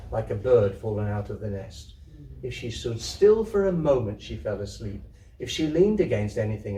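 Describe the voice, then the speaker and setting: A man giving an expressive live reading of a literary story indoors. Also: mid-range voice. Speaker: theatre troupe reader. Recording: roomy echo, distant microphone.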